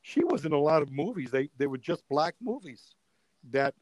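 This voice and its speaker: Older guy from italy spinning old stories, lots of uh and restarts, kinda high voice, dry sound. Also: normal speed, dry recording. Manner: animated storyteller, many restarts and fillers. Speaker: comedian guest. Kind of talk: casual storytelling chat.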